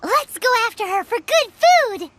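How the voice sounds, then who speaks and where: A woman voicing a tiny, excitable, food-loving fairy companion who talks about herself in the third person, in a video game. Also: very high voice, moderate pace, full character voice. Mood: excited.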